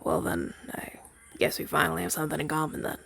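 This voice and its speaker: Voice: mid-range voice, little pitch movement, fast talker, gravelly, pushed and bright, breathy edge, full character voice. A man voicing a shy, earnest crow familiar in an ASMR roleplay, hesitant and rambling, with stammers. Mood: hopeful, eager.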